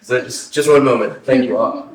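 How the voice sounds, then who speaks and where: A man's voice: mid-range voice, normal speed. A man speaking informally and politely to an audience, a little hesitant, as he presents a talk-back after a play. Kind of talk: casual audience announcement. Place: small arts centre theatre, recorded from a distance. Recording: echoey room, distant mic, faint noise.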